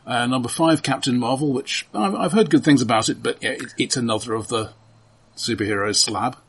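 A man co-hosting a film podcast, talking casually and haltingly as he runs down lists. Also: mid-range voice, fairly bright tone, breathy edge. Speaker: podcast co-host. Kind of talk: casual film chat, running down a list.

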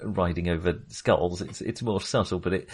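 A man with a fairly low voice talks casually about film as a podcast co-host. He rambles, hunting for the right word and cutting across his own sentences. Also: normal speed, a little mellow, breathy edge. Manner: rambling, searching for words, self-interrupting.

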